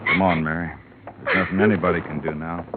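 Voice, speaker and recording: A man's voice: sits low, steady tone, fast, narrow, tinny audio, close to an everyday voice. An actor playing a hot-headed, blunt rural townsman with a Western American accent in an old radio drama. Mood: subdued. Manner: blunt, combative. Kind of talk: 1950s radio drama acting. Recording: band-limited vintage radio, faint noise.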